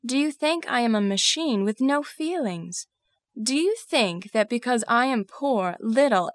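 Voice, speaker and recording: Young governess, earnest, deeply feeling, careful diction, slightly high pitch, period audio drama. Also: female voice, no echo, clean studio sound. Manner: earnest, emotional, carefully enunciated. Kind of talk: first-person dramatized storytelling of classic novel.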